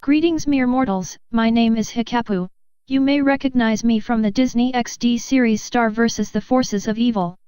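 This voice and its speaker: A woman's voice: on the high side, normal speed, a little mellow, faint music behind, close to an everyday voice. A woman voicing a haughty female cartoon villain, with a grand, condescending delivery.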